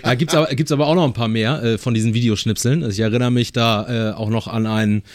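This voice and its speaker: A man chatting casually as a sports journalist, recalling anecdotes in a halting, amused and wordy way. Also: mid-range voice, fairly even intonation, a crowd in the background.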